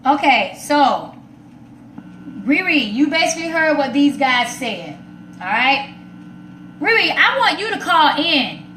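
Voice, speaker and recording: A woman's voice: sits high, sing-song, slow pace, long pauses, pushed and bright. A woman hosting a live talk show, speaking casually and emphatically to her viewers in an outspoken way. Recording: roomy echo, faint background noise.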